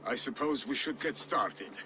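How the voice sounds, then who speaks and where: A man's voice: mid-range voice, unhurried, noisy background, lightly acted. An actor playing a loyal, dutiful male steward with a dry wit in a game cutscene. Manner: earnest, dutiful, dryly wry.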